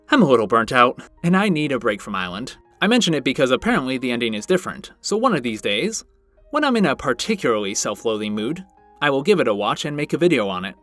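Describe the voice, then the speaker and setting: Man narrating a review, lively intonation. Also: mid-range voice. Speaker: video reviewer, channel host. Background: background music.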